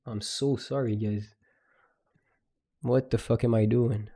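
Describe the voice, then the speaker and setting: A man's voice: mid-range voice, fairly even intonation, takes it slowly, mellow, husky. A young man in his twenties from Quebec with a Quebec French accent, a native French speaker, chatting casually and slangily with viewers on a live stream. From Quebec, Canada. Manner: loose, slangy, laughs easily. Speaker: day trader live streamer.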